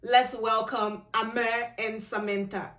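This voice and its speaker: A woman's voice: a little high, flat delivery, takes it slowly, fairly bright tone. Upbeat woman host in an echoey room. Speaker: interview host. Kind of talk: hosting an online interview. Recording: echoey room with faint music.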